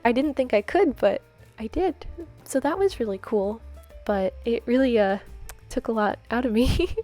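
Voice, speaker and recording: A young woman chatting and rambling while she draws, soft-spoken and giggly, her voice a little off from a cold. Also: mid-range voice. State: slightly congested from a cold. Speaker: art vlogger. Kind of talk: chatty voice-over while drawing. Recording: faint background music.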